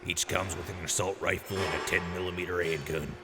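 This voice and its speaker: A deep, gravelly, boisterous arms dealer. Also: male voice, little pitch movement, fairly quick, music in the background. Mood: hyped, proud. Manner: hyped showman with booming catchphrase outbursts. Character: boisterous, generous wasteland quartermaster and arms dealer. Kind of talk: post-apocalyptic audio drama acting. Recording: noisy, reverberant mix.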